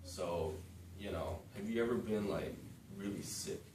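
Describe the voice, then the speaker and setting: A man's voice: deep voice, unhurried, a little mellow. A man in his thirties giving an informal talk to students, with lots of "um" and "okay?" check-ins. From born in the United States, raised in Japan. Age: 30s. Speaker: guest speaker to students. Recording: roomy, distant, noisy room recording.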